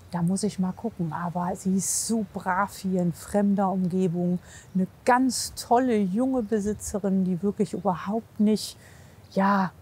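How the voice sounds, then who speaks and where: A woman's voice: medium pitch, a little mellow, breathy edge. A woman speaking casually and reassuringly as a horse physiotherapist, coaching and commenting. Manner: encouraging, reassuring, with frequent praise. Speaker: horse physiotherapist assessing horse and rider.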